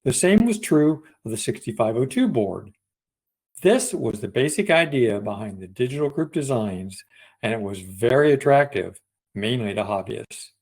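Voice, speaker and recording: Man narrating an audiobook, medium pitch. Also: animated, moderate pace, poor audio. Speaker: audiobook reader.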